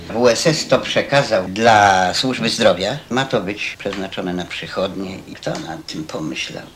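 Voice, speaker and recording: A man with a fairly low voice speaks off the cuff as a local interviewee. The old newsreel location sound carries faint noise. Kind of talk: spontaneous vox pop complaint.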